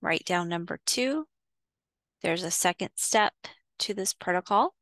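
A woman leading a guided visualization in a soothing, instructional way, pausing between short phrases. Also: lowish voice, lively intonation, fairly bright tone, no room sound. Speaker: guided meditation host. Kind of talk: guided visualization, meditation narration.

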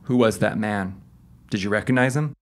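A man plays a police detective in a radio drama. He is formal and measured, questioning patiently with a skeptical, official air. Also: lowish voice, close to an everyday voice. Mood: measured, probing. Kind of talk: audio drama acting.

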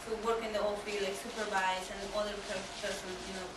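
A young woman from Peru with a Peruvian Spanish accent gives an informal presentation, searching for words in halting non-native English. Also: mid-range voice, flat delivery. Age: young adult. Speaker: exchange trainee giving a talk. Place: small meeting room with seated audience. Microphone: far camera microphone. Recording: distant, very echoey room, clear background noise.

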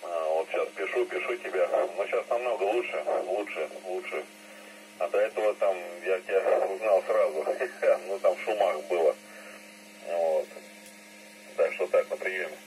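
Very deep-voiced man over noisy ham radio. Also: unhurried. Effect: voice heard over two-way radio. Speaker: amateur radio operator. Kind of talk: casual ham radio chat. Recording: noisy, humming FM radio transmission.

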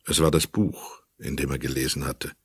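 A man's voice: extremely low pitch, steady tone, normal speed, husky, no echo, studio quality. A man reading scripted literary narration as the narrator of a crime radio play.

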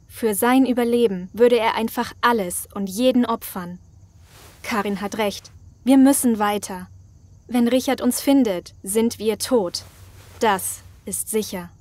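A woman plays an earnest survivor in a horror radio play. Her mid-pitched voice is serious and urgent. The sound is dry, with little room. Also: a little mellow, no echo, lightly acted. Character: earnest, warning female zombie-apocalypse survivor. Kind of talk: German audio drama acting.

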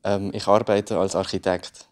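A man's voice: low voice, level tone, normal speed. A man in his twenties with a Valais Swiss German accent, an architect introducing himself in short plain phrases. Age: 20s. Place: indoor studio.

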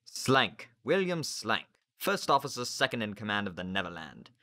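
A man voicing a gruff, thickset sailor, a ship's first officer in a storybook, curt and menacing. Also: lowish voice, sing-song, moderate pace, bright, forceful tone. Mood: surly.

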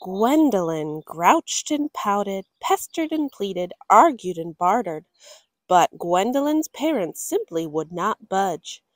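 A woman reading a picture book aloud for children, as a story time host, with deliberate, dramatic phrasing. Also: mid-range voice, big pitch swings, dry recording.

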